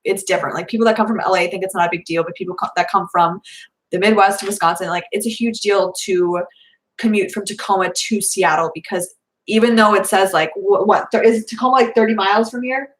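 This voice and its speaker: A young woman talks her way casually through viewer questions at home, her voice a little hoarse. She rambles and restarts, filling gaps with "um" and "like." Her pitch sits in a plain middle range. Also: fairly even intonation, fairly quick, low-quality recording. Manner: chatty, rambling with many fillers. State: slightly hoarse. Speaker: vlogger answering viewer questions. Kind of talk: casual live Q&A chat.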